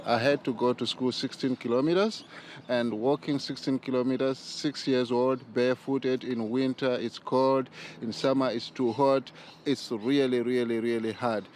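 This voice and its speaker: Tamu pria beraksen Zimbabwe, bicara tersendat dan sungguh-sungguh, nada suara sedang. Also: male voice, medium pitch, a little mellow, slight background noise. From rural Zimbabwe. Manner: halting, with fillers and restarts. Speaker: interview guest. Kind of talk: earnest interview answers.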